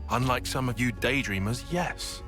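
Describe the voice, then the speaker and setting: A man's voice: mid-range voice, moderate pace, over music, lightly acted. A man playing a wary young soldier in a game, with a dry, sardonic, grudging manner. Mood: guarded, sardonic. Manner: sardonic, clipped, exasperated.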